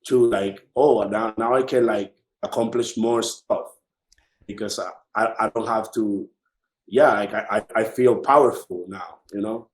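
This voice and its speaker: Dominican-accented man, echoey, halting casual talk. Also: on the low side. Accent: Dominican Spanish. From Dominican Republic. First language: Spanish. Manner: halting, repeats words and phrases as he searches for them. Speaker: artist, interview guest. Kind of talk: casual conversation.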